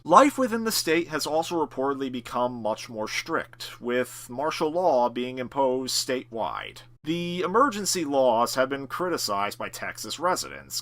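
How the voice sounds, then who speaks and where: A man's voice: medium pitch, sharp tone. A man playing a TV news anchor, reading serious crisis coverage in a formal, emphatic style. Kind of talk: scripted TV news report.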